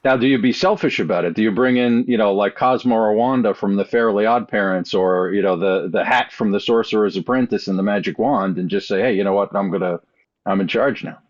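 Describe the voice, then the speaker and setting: A man's voice: on the low side, fairly quick. A man chatting casually as a podcast panelist, heard on slightly dull, lo-fi audio.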